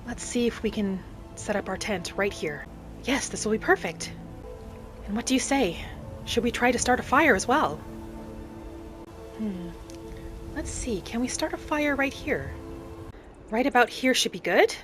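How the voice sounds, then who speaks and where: A woman playing a gentle, earnest female wood-elf adventurer, musing aloud as she narrates a roleplay game in character. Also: a little high, lively intonation, unhurried, pauses often, over music. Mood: thoughtful.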